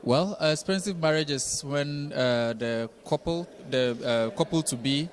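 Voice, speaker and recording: A man from Ghana answering a street interview in a measured way, pausing with "uh" as he builds his definition. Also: on the high side. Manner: measured, hesitant with "uh" fillers.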